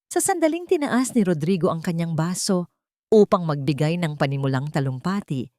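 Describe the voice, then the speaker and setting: A woman with a Filipino accent narrating a dramatic story in a measured, solemn way. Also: lowish voice, darkish tone, no echo, clean studio sound. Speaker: narrator of a Tagalog audio drama.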